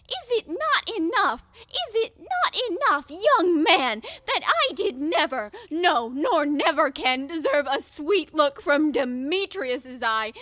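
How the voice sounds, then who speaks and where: A woman's voice: high voice, pushed and bright, dry recording, exaggerated voice. A woman playing a lovesick, self-pitying young woman in a Shakespearean audio drama, pleading melodramatically.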